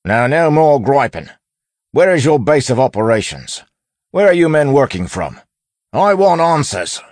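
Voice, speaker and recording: A man voicing a cocky, brash lawman who talks in a forceful, folksy, bullying way, as in an audiobook. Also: low-pitched, sing-song, a little slow, no echo, voice pushed up, exaggerated voice. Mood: angry, bellowing. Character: cocky, brash lawman bluffing in a stern constable voice. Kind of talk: audiobook character acting.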